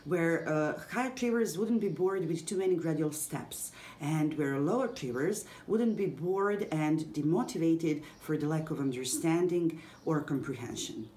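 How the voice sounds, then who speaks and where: A woman's voice: low voice, unhurried, few pauses, warm tone, slight background noise. A woman from Serbia, an English teacher, reading a scripted presentation carefully, with small hesitations. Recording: echoey room with faint background ambience.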